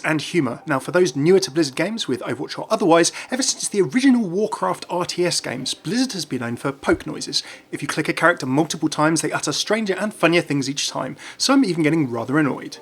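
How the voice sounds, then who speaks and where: A man's voice: on the high side, animated, fast, few pauses, husky. A man hosting a gaming video, reading scripted narration. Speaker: YouTube video host. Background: music.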